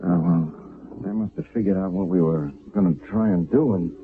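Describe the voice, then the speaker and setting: An actor playing a weary, earnest army sergeant giving a tense report in an old radio drama. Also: male voice, low-pitched, moderate pace, narrow, tinny audio, a little hiss or hum, natural voice. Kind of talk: 1970s radio drama acting.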